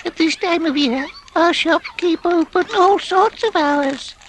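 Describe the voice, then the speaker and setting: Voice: extremely high pitch, a little slow, voice pushed up. A storyteller voicing a small, polite young field mouse with a piping, respectful way of talking. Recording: noisy background hiss.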